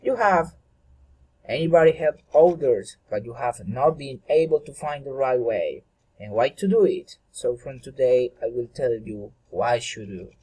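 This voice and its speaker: Man voicing a slow, husky, gentle old god. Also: medium pitch, animated, rough recording. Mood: benevolent, earnest. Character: gentle radiant old male god of love.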